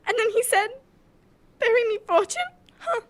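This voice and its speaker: A woman's voice: extremely high pitch, lively intonation, moderate pace. A woman in a radio drama playing a forlorn young village bride in a plaintive, melodramatic way. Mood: wistful.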